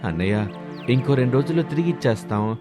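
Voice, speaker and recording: A man's voice: medium pitch, lively intonation, fast, over music, natural voice. A man voicing a scheming guest in a cartoon moral story, polite and ingratiating as he feigns kinship.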